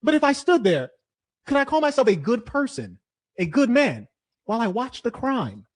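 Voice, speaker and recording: A man debating hotly, lecturing and combative, his voice dropping low and then rising to shouts. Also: sing-song, normal speed. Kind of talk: heated debate.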